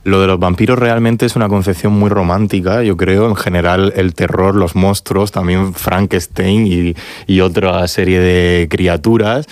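A man's voice: low-pitched, fairly even intonation, measured pace, darkish tone, no room sound, clean studio sound. A man hosting a radio show, asking guests light, joking questions. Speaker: radio host. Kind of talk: casual radio talk.

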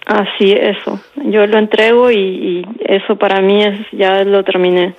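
Woman writer in her forties, even and reflective, with fry in the voice, heard on muffled radio audio. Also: mid-range voice, unhurried. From Peru. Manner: reflective, often adds "¿no?" and repeats words. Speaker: writer, interview guest. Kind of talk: interview answers.

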